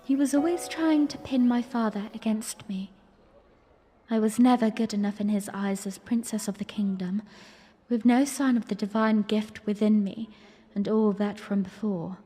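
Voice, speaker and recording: A woman's voice: medium pitch, fairly even intonation, moderate pace, mellow, husky, long reverb tail, soft background music, close to an everyday voice. A woman playing a young princess, narrating her memory in a dramatic first-person audio drama style. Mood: puzzled, storytelling.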